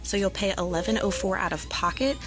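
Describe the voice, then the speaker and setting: A woman's voice: medium pitch, fairly quick. A woman, chatting upbeat to viewers as she walks through store deals, then films from a parked car. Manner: upbeat, talks straight to viewers with "y'all" and "guys". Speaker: couponing vlogger. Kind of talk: casual chatty deal walkthrough. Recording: noisy store and car background.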